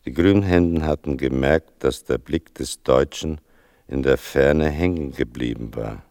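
Very deep gravelly solemn male narrator. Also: a little mellow, no echo, studio quality. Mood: solemn, reflective. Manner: slow, measured, solemn. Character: grave adult son narrating his mother's wartime ordeal. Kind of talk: literary radio drama narration. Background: music.

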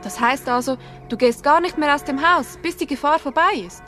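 A woman's voice: sits high, moderate pace, a bit bright, faint music behind. An actress playing a village woman who listens and questions tersely in a radio drama dialogue. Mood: sceptical. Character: village woman mildly questioning her young man friend.

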